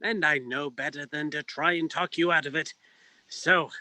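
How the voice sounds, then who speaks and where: A man's voice: on the high side, fairly even intonation, moderate pace, pushed and bright, dry recording. An actor playing a formal, dryly witty pub owner in a comic detective stage play, fussy and precise in his wording. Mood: earnest. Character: proper, dryly witty male pub owner, loyal friend. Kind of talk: comic noir stage acting.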